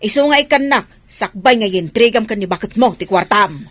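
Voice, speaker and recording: A woman's voice: medium pitch, animated, brisk, no echo, natural voice. A woman playing a stubborn, money-demanding older mother in an Ilocano radio drama, scolding with an Ilocano accent.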